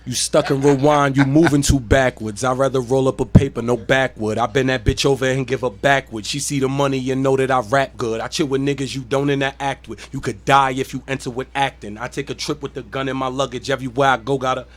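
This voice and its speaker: A man's voice: medium pitch, steady tone, fairly quick, hardly any pauses. A man rapping a freestyle verse in a radio studio cipher, laid-back and rhythmic, over a hip hop beat. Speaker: freestyle rapper.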